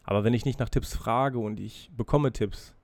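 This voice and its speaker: Ein erkälteter Mann spricht frei und nachdenklich in seinem Podcast, reflektiert über sich selbst, mit Zögern und Füllwörtern. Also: male voice, mid-range voice, normal speed, a little mellow, no echo. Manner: freely spoken, musing, self-reflective with hesitations. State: has a cold, breathing heavily. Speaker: podcast host. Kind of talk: casual solo podcast monologue.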